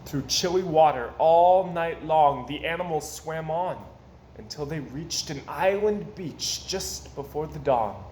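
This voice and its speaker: Animated male storyteller with a lively pitch. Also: a little slow. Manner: theatrical, expressive. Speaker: storyteller reading a children's book. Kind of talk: animated read-aloud of rhyming picture book. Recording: roomy echo, faint background noise.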